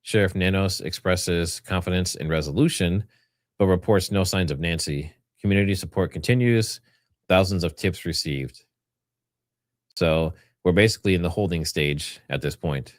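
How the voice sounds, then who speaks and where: A man's voice: deep voice, normal speed, dry recording, studio quality. A man hosting a livestream, giving informal, rambling commentary and partly reading from notes. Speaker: livestream host.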